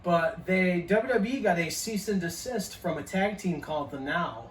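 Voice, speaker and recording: A man in his early forties chatting casually as a show co-host, his voice sitting a little high. He sounds set back from the mic in an echoey room with a faint hum of ambience. His talk is laid-back and peppered with swearing. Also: lively intonation, normal speed, recorded from a distance. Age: 40s. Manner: profane, laid-back banter. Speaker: podcast co-host. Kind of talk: casual chat.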